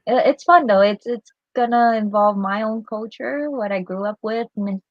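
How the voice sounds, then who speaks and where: A woman's voice: on the high side, moderate pace, no echo, lo-fi sound. A woman who grew up in Vietnam, a guest artist chatting casually on a livestream, giggly and hesitant with fillers.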